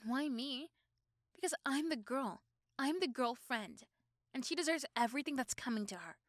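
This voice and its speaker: A high, closely recorded young female voice acted by a woman plays a possessive schoolgirl girlfriend in an ASMR roleplay. She speaks straight to her boyfriend, doting and protective with a menacing edge. Pauses and repeated words make her delivery dramatic and intense. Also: animated, no echo, studio quality. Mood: jealous, determined. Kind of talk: ASMR roleplay acting addressed to the listener.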